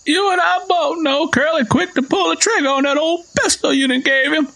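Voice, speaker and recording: A man's voice: extremely high pitch, fairly quick, vocal fry, bright, forceful tone, voice pushed up, exaggerated voice. A man voicing a stern, stubborn old Southern father in an audio story, speaking bluntly and insistently. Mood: accusing, stern. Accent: American South. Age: older.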